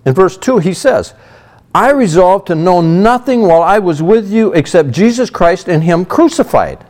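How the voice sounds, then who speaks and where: Man preaching, middle-aged, conversational Bible teaching, high voice, very animated pitch. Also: moderate pace. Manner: conversational Bible teaching, frequent "you know". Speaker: pastor. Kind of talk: sermon.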